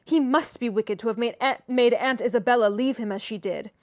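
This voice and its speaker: A woman reading aloud and voicing an impulsive, warm-hearted teenage girl who speaks earnestly and pertly. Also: a little high, fairly quick, no room sound, studio quality, lightly acted. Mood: indignant. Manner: earnest, pert.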